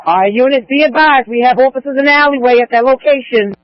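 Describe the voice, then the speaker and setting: A man's voice: very high-pitched, fast, lo-fi sound, very noisy. A man working as a police radio dispatcher, relaying and repeating orders to units over a scanner.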